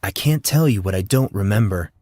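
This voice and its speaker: A man's voice: fairly low pitch, lively intonation, fairly quick, a little mellow, no room sound. A man voicing a guarded, wry teenage boy with a dry, understated delivery in an audio story.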